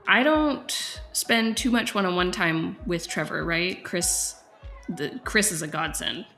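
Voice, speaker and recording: A woman's voice: fairly low pitch, takes it slowly, bright, forceful tone, faint music behind, heavily acted. A woman playing a concerned, slightly overwhelmed special education teacher, talking candidly and hesitantly in naturalistic dialogue. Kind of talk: naturalistic acted interview dialogue.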